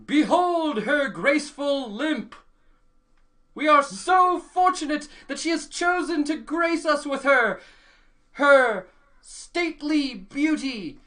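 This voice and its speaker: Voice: high voice, takes it slowly, bright, forceful tone, heavily acted. An actor playing a grand medieval tournament herald, proclaiming ceremoniously like a comic sports announcer. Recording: noticeable background noise.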